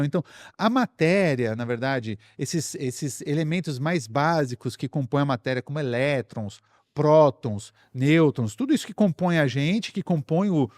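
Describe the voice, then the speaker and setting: A man's voice: a little high, a little mellow, no room sound, studio quality. A man with a Brazilian accent casually explaining science as a guest expert, step by step, repeating phrases for emphasis.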